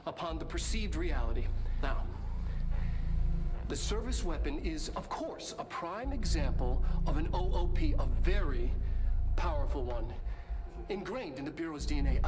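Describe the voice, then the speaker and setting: A man playing an eager, eccentric scientist in a game, giving a scripted, didactic lecture with dramatic pauses. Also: on the high side, animated, over music, close to an everyday voice. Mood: eager lecturing. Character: eager, eccentric male scientist presenting a briefing.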